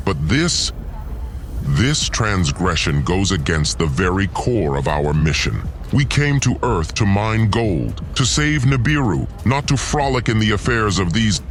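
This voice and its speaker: A man's voice: on the low side, slight background noise. A man voicing an arrogant, cold ancient god who declaims with pompous scorn in an audio drama.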